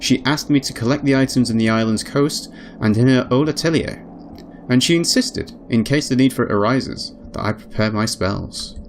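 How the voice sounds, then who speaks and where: A man reading a visual novel aloud as a let's play host, in an expressive storybook style with casual asides. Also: medium pitch, moderate pace, slight background noise. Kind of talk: dramatic read-aloud narration with casual asides.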